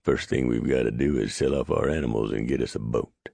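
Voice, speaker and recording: A man voicing a calm young fur trapper. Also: a bass voice, fairly quick, vocal fry, dry recording, exaggerated voice. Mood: steady.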